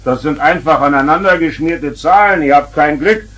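A man's voice: on the high side, normal speed, fairly bright tone. An actor playing an exasperated, lecturing father of two young girls in a 1970s German children's radio play. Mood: indignant. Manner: stern, lecturing.

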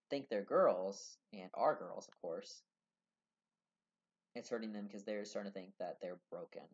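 Young male vlogger, low-ish voice, earnest and rambling, muffled webcam sound. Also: a little mellow. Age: young adult. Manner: earnest, rambling, many fillers and restarts. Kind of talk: casual talk to camera. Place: small room indoors. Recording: dull lo-fi webcam audio.

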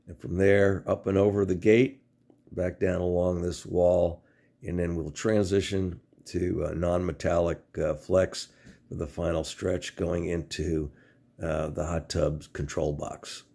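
Deep, gravelly older American man. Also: level tone, dark tone. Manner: halting, methodical explaining with frequent pauses. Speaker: DIY home-improvement presenter. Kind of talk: instructional how-to narration.

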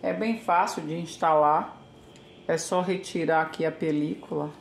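A woman's voice: low-pitched, fairly even intonation, measured pace, creaky. Older woman chatting in an echoey kitchen. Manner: chatty, thinks aloud while working with her hands. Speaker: home vlogger. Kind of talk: casual how-to narration. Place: home kitchen, indoors. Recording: echoey kitchen, faint background hiss.

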